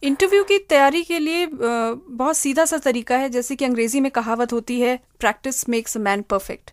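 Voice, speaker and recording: A woman's voice: high voice, normal speed. A woman giving methodical, step-by-step advice as an interviewed expert. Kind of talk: interview answers, explanatory advice.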